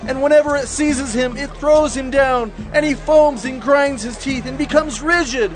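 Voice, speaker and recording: High-pitched, anguished pleading father. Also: normal speed. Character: desperate pleading father of a tormented boy. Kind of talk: dramatized audio scripture. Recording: music and crowd behind voice.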